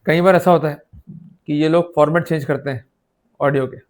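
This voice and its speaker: A man's voice: fairly high pitch, fairly even intonation, brisk. A man with an Indian accent teaching law in an explanatory, didactic lecture style. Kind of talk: explanatory legal lecture mixing Hindi and English.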